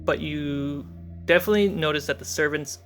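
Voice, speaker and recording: A game master narrating a fantasy tabletop adventure in a measured way, pausing between short atmospheric phrases. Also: medium pitch, a bit bright. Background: cinematic background music.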